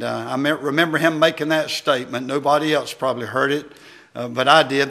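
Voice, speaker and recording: A preacher. His voice is mid-pitched, creaky and sharply bright. He delivers his sermon in a homespun, halting manner. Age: 60s. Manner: folksy, halting delivery with amens. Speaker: visiting preacher, former pastor. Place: church sanctuary at a wooden pulpit.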